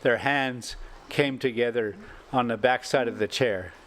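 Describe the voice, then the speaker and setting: An older man reading a classic short story aloud as an amateur storyteller, in halting, broken phrases. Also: mid-range voice, a bit bright. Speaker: storyteller and channel host.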